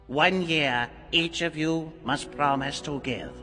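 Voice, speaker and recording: An actor playing a mysterious, grave bargain-maker in a dramatized audiobook, coaxing and solemn in deliberate, theatrical phrases. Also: male voice, on the high side, sharp tone. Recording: long hall reverb, music underneath.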